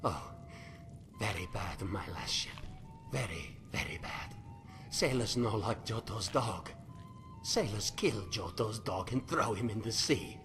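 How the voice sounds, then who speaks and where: Slow, low, lilting cat-man hunter. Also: lively intonation, music in the background. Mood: wistful. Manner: broken English, speaks of himself in third person. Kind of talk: video game character dialogue.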